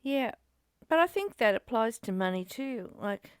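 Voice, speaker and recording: A woman's voice: on the high side, sing-song, unhurried, clean tone, dry recording, clean studio sound. A woman reading aloud and reflecting in casual discussion, in a musing, questioning and conversational manner. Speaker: study group co-host, reader.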